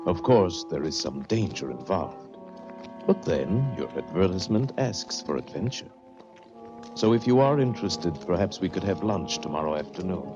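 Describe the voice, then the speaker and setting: A man acting a suave letter-writer in a 1940s radio drama, formal and deliberate as he voices his letter. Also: low voice, very animated, music in the background. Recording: muffled 1940s radio recording.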